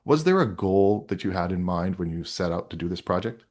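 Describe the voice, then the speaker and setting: A man with a fairly low voice hosts an interview. He puts his questions to the guest in a conversational style. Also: lively intonation, brisk, a little mellow. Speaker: interviewer, host.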